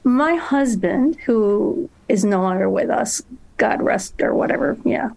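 A woman's voice: on the high side, lively intonation, a little slow, creaky, a little mellow, low-quality recording. A woman guest telling a story in casual chat, laughing often as she goes.

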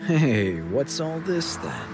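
A man's voice: mid-range voice, sing-song, slow pace, vocal fry, music in the background, pitched above the performer's own voice, heavily acted. A man voicing a stern, protective father in a story, speaking in a firm, intimidating way. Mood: suspicious. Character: stern, threatening father, protective brother-in-law.

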